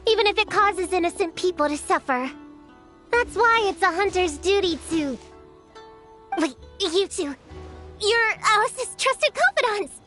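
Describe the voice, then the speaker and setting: A woman's voice: very high-pitched, fairly bright tone, heavily acted. A woman voicing a spirited young witch-hunter girl in a video game, proud, insistent and earnest, with a self-important, defensive way of talking. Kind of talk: animated video game acting.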